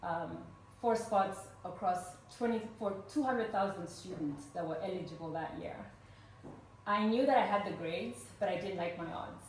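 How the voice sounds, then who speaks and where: A woman's voice: lowish voice, moderate pace. Ugandan-born woman speaking in an echoey hall. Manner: earnest and warm, with frequent "um" fillers. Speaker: speaker at a fundraising event. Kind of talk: personal story told to a live audience. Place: event room with a live audience. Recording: roomy echo, voice from far off, faint noise.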